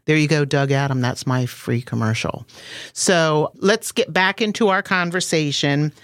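A woman's voice: deep voice, a little slow, dry recording. Chatty woman podcast host, dry sound. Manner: chatty, enthusiastic, talks freely in asides. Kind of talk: casual chat.

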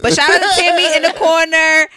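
A woman's voice: very high-pitched, little pitch movement, a little fast, very noisy. A woman in her late twenties from Connecticut, chatting casually and animatedly, swearing freely and laughing often. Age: 20s. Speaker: podcast guest. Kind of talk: casual chat.

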